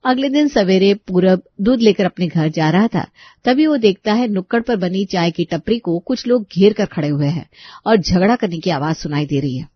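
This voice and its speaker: A woman's voice: mid-range voice, animated, normal speed, no room sound, lo-fi sound. A woman reading a scripted story as its narrator.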